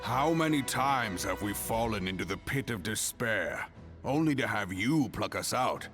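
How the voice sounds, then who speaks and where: Battle-hardened game general: lowish, unhurried, proud and resolute. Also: male voice, lively intonation, music in the background. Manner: grave, resolute, measured. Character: proud, battle-hardened male warrior leader. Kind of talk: fantasy video game acting.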